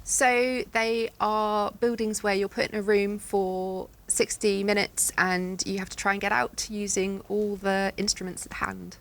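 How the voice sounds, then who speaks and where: A woman's voice: medium pitch, level tone, unhurried, few pauses, fairly bright tone. A woman speaking as a quiz show contestant, giving short explanations and answers. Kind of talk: brief chat and answers on a quiz.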